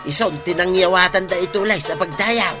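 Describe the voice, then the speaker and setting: A man plays a good-natured village friend who frets and exclaims in an Ilocano radio comedy. His voice sits a little high, with an Ilocano accent. Music runs clearly behind him. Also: a little fast, natural voice. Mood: chatty, excited.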